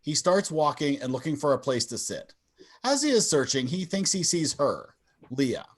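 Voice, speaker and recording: A man's voice: on the high side, very animated, moderate pace. A man narrating stage directions in a scripted table read over a video call.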